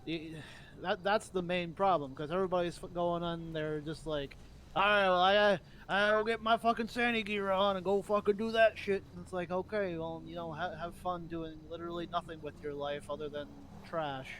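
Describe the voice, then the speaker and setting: A man with a fairly high voice talks casually in a role-play game. He is blunt and sweary, grumbling as he goes. Faint street and vehicle noise runs behind him. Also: fairly even intonation, brisk, a bit bright, poor audio. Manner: blunt, profane, grumbling rant. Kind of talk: casual in-character chat.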